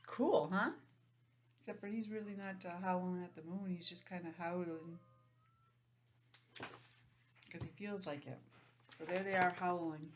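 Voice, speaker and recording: A woman's voice: fairly low pitch, unhurried, pauses often. Woman reading to children, noisy recording. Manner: animated, simple explaining to young children. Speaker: teacher reading aloud to children. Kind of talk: storybook read-aloud with chatty asides.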